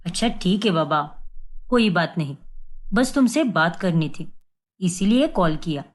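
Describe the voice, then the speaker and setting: An earnest, trusting young husband from a cartoon story, as a woman voices him far below her own pitch. His lines come out lively and pleading. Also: measured pace. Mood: gentle.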